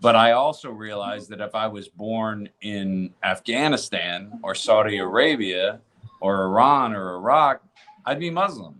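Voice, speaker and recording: A man's voice: lowish voice, a little slow, a bit bright. An American man from Tennessee co-hosting a podcast, chatting casually and telling stories in a conversational way. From Tennessee, United States. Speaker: podcast co-host. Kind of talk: casual conversational storytelling and discussion.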